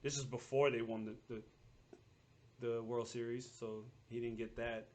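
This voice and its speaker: A man's voice: medium pitch, fairly even intonation, normal speed, darkish tone. A man in his thirties chatting informally as a sports podcast host, with slangy, bantering delivery. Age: 30s. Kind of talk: casual sports podcast chat.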